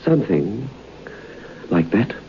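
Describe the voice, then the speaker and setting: A very low-voiced, genteel gentleman in an old radio play, whose lively delivery falls to a hushed near-whisper as he recounts something terrible. The sound is dull and hissy, like an old broadcast. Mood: chatty. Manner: measured, drops to a hushed near-whisper for dark parts. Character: genteel male gentleman telling a grim tale. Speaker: storyteller character. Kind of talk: vintage radio drama acting.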